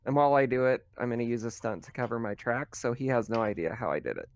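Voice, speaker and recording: A man's voice: mid-range voice, expressive, fast talker. A man chatting casually as a player at a tabletop role-playing game, sometimes speaking for his character.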